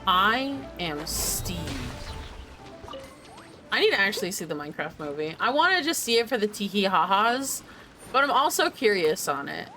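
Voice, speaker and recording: A woman giving casual, chatty live commentary as she plays a game, rambling along loudly. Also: mid-range voice, big pitch swings, moderate pace. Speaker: game streamer. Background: game music.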